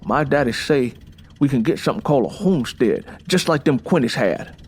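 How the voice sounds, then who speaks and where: An actor playing a stubborn, plainspoken young Southern husband in an audio drama, folksy and insistent, with a Southern US accent. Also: male voice, medium pitch, lively intonation, brisk, breathy edge. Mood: determined, dismissive. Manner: folksy, insistent, long-winded.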